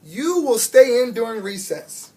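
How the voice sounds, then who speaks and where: A man voicing a firm but caring woman schoolteacher in a storybook, emphatic and coaxing, with a husky voice. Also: extremely high pitch, big pitch swings, measured pace, dark tone, voice pushed up, full character voice. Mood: stern, exasperated.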